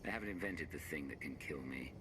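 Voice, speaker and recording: A man voicing a gruff, sarcastic warrior in a game cutscene, with a deep gravelly near-whisper. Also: fast. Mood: sardonic. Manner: dry sarcastic. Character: gruff sardonic male warrior, deep and growly. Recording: noisy game cutscene audio.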